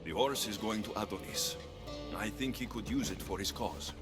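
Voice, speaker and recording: A man's voice: lowish voice, moderate pace, over music, lightly acted. A man playing a bold, blunt adventurer in a video game, with an assertive, dry delivery. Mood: firm. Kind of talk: video game cutscene acting.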